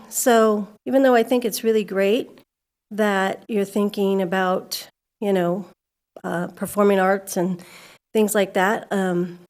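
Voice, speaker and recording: A woman's voice: mid-range voice, a little mellow. Candid woman speaking at a podium mic. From California. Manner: candid, conversational, grows emphatic. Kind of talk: public comment at a school board meeting. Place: civic center meeting hall.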